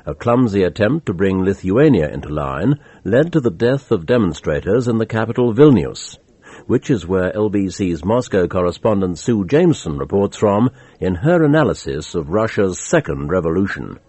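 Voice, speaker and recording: A man's voice: sits low, sing-song, normal speed, mellow, breathy edge, no echo. A man presenting scripted radio news narration in an authoritative manner.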